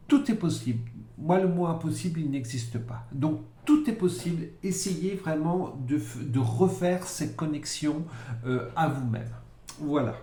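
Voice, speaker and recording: A man giving a casual monthly tarot-style forecast, talking slowly with many fillers and repeated words. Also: on the high side, warm tone. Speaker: psychic card reader. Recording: echoey room, faint noise.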